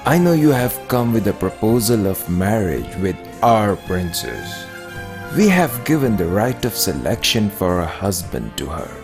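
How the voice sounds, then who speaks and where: A man's voice: lowish voice, very animated, unhurried, music in the background. A man playing a courteous king and father in a children's cartoon, speaking formally. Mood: welcoming, formal.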